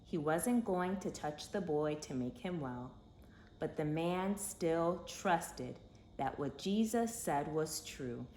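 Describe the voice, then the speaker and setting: A woman with a lowish voice reads a children's storybook aloud. Her delivery is slow, expressive and dramatic, with lively swings of pitch. It is recorded in an echoey room. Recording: echoey room with faint background noise.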